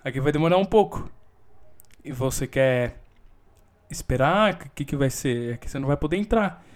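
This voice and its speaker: A young man with a Brazilian Portuguese accent, hosting a casual solo podcast from home, telling a story with lots of swearing and sudden loud outbursts. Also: mid-range voice, expressive, normal speed. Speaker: podcast host.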